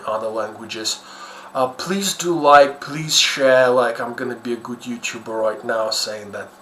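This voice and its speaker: A man's voice: mid-range voice, a bit bright. Man, Ukrainian accent, casual YouTube commentary, hesitant and self-deprecating, roomy echo, faint background noise. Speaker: YouTuber host. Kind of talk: casual unscripted commentary over a map.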